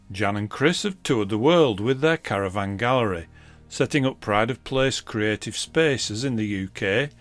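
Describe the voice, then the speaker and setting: A man's voice: mid-range voice, fairly bright tone. A man reading scripted documentary narration for a local newsreel. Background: music with guitar.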